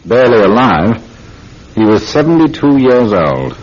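Husky, gruff police inspector. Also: male voice, on the low side, very animated, a little slow, a little mellow, heavily acted. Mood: grave, troubled. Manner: brusque, authoritative. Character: gruff, harried senior British police inspector. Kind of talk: radio drama acting. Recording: dull radio drama recording.

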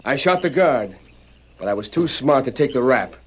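A man's voice: medium pitch, animated, normal speed, breathy edge. A man playing a ruthless, gloating outlaw leader in an old-time radio western, with a bossy, sneering cowboy drawl. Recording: dull, noisy old radio recording.

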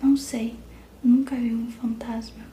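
A woman's voice: on the high side, level tone, a little slow, warm tone, slight background noise, lightly acted. A woman whispering the lines of a playful ghost clown who speaks as a floating nose in a storybook reading. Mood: wry.

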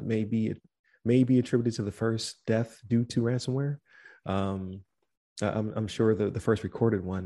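A male podcast co-host with a somewhat low voice that is starting to wear thin. He talks in a loose, rambling way, full of fillers. He winds down the episode by reading out where to find the show. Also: gravelly. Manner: relaxed and rambling, many fillers, reads out the show's links at the close. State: voice starting to give out. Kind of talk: casual chat.

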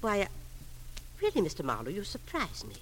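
An actress playing a genteel, fluttery older widow in an old radio drama, effusive, proper and guardedly proud. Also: female voice, mid-range voice, huge pitch swings, brisk, fairly bright tone, poor audio. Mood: affronted. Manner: effusive, proper, over-enunciated politeness. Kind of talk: 1940s-50s radio drama acting. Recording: old radio show, faint noise.

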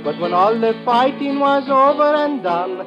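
An actor playing a jovial calypso singer, delivering rhymes in singsong style in a radio drama. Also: male voice, very high voice, lively intonation, measured pace. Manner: singsong, rhyming, jolly. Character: jovial male Trinidadian calypso singer, admiring storyteller. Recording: muffled old radio recording, loud guitar.

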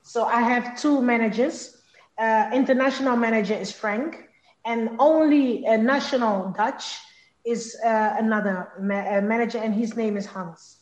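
Congolese-born woman with a higher voice. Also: a little mellow, echoey room, rough recording. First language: Lingala. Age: young adult. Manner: halting, repeats words while searching for phrasing. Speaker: interview guest, singer. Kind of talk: casual interview conversation.

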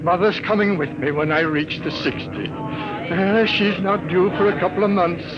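A man's voice: high voice, moderate pace, over a noisy crowd, close to an everyday voice, low-quality recording. An actor playing a meek, resigned old man about to turn sixty, in a 1950s radio drama.

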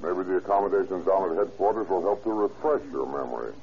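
A skeptical male police detective in a vintage radio drama, deep-voiced and quick-talking. The recording sounds dull and slightly noisy. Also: animated, vocal fry, darkish tone. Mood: wry, skeptical. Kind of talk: old-time radio crime drama acting.

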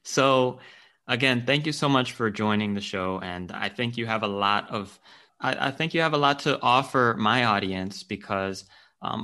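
A man's voice: medium pitch, pushed and bright, dry recording. A young man hosting a podcast, talking in an easygoing way with frequent restarts. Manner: easygoing, repeats words and restarts. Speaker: podcast host. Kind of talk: casual podcast chat.

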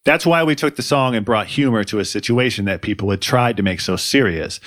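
A man hosting a music podcast, reading scripted commentary in a dry, wry, deadpan way. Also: mid-range voice, a little fast, dry recording. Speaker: podcast host.

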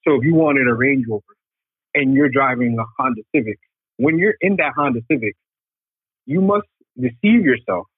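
A man calling in as a guest, calmly explaining spiritual ideas as a teacher of martial arts and metaphysics. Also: mid-range voice, breathy edge, no room sound. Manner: calm, steady, explanatory. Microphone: phone call. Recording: tinny phone line.

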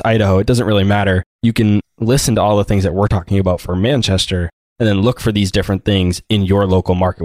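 Fast-talking deep-voiced male podcast host. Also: darkish tone, no echo. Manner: direct, quick questioning. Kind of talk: casual interview hosting.

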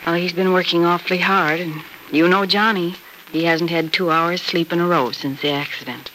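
A woman's voice: lowish voice, steady tone, normal speed, fairly bright tone, close to an everyday voice, rough recording. An actress playing a kindly middle-aged mother, soothing and confiding, in a 1940s radio soap. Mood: sympathetic. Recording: old radio recording with faint noise.

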